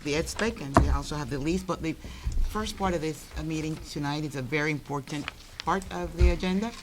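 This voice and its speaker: A man with a fairly high voice presents to a school board in a formal, halting way, pausing often on fillers. The recording is noisy and picked up by a meeting-room microphone. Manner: many fillers and restarts. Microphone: room microphone, quiet for listeners.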